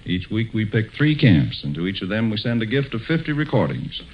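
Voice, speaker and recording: Male American radio host, 1940s broadcast style, lowish voice, muffled vintage sound. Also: big pitch swings, a little fast. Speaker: radio show host and announcer. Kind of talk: 1940s radio announcing, scripted.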